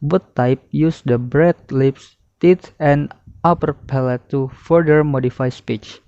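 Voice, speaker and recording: A man's voice: fairly high pitch, slow pace, warm tone, no room sound. A young man reading a lesson presentation aloud as a student presenter, in a methodical way. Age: young adult. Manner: methodical, listing term then definition. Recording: dry, slightly dull lo-fi recording.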